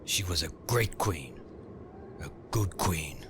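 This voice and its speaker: Man as grave, slow-spoken loyal courtier. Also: low voice, lively intonation, noisy background. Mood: solemn defiance. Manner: deliberate, solemn. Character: loyal, defiant male former courtier, grave and dignified. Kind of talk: sci-fi comic dub acting.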